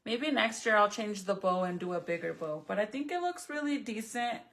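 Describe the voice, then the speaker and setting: A woman's voice: medium pitch, expressive, fairly quick, a bit bright. A woman whose first language is Spanish, chatting casually and bluntly to her viewers while working on a craft project. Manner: blunt, profane, chatty, talks to viewers and commenters. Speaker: vlogger.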